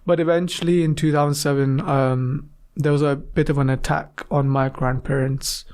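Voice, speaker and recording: A young man from Afghanistan, a guest in an interview, talking casually and haltingly with many fillers. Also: fairly high pitch, level tone, darkish tone, clear, resonant tone.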